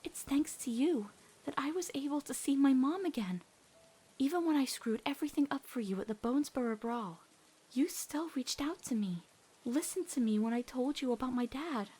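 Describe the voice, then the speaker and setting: Woman voicing an earnest, breathy, high-voiced teenage girl. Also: expressive, warm tone. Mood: tender, sincere. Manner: heartfelt, halting and stammering when nervous. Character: earnest, awkward teenage girl confessing love. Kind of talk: emotional animated comic dub acting.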